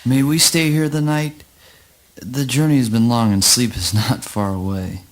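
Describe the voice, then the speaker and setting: A man playing a weary, awed traveler in a theatrical spoken word play, speaking haltingly. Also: medium pitch, fairly even intonation, lightly acted. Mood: weary, questioning.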